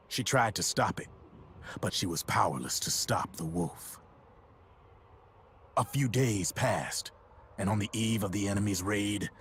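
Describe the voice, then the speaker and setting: A grim deep-voiced storyteller. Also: male voice, a little hiss or hum. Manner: slow, grave storytelling, dropping to hushed near-whispers. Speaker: storyteller in a game cutscene. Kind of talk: video game cutscene voice acting.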